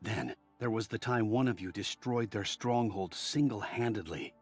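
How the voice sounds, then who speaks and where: A man's voice: on the low side, normal speed, husky, faint music behind. An actor voicing an earnest, admiring but cautious man in a video game, talking like an articulate storyteller. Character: earnest, admiring, cautious male fan of war heroes.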